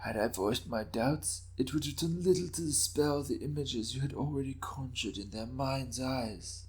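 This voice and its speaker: A man voicing a shrewd, courteous old Japanese daimyo with measured, formal speech in a dramatic reading of historical fiction. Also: mid-range voice, darkish tone, voice pushed up, natural voice. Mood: knowing, measured. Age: older.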